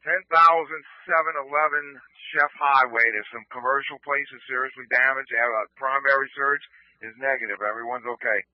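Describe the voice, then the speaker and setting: A man's voice: medium pitch, fairly even intonation, brisk, dry recording. Man on dry, phone-thin emergency radio. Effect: two-way radio. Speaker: fire field unit. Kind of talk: clipped search report by radio. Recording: dry, narrow-band scanner radio.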